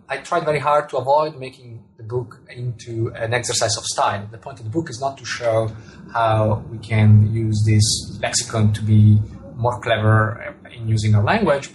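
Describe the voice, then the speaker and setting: A man's voice: mid-range voice, a little mellow, low-quality recording. A man with an Italian accent, an author answering interview questions thoughtfully, often repeating words and restarting his sentences. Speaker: author and astronomer.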